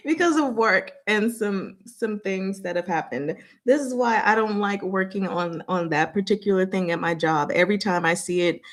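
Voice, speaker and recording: A woman hosting a livestream in casual chat, with an animated, scattered, self-deprecating way of talking. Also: medium pitch. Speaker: livestream host.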